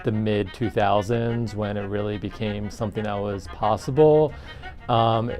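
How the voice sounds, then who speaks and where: A man's voice: on the low side, fairly even intonation, unhurried, a little mellow, soft background music. A man who teaches, explaining things informally in a documentary interview. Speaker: audio production teacher.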